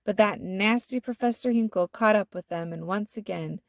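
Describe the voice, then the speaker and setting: A woman narrating a children's storybook aloud in an expressive, deliberate storytelling manner. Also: medium pitch, creaky, dry recording, clean studio sound.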